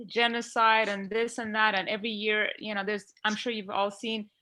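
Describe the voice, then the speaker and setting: Woman from Iran, podcast panelist, bright tone, heartfelt rambling talker on a video call. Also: mid-range voice, flat delivery, normal speed. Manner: heartfelt, rambling with many fillers. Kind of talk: earnest discussion on a video call.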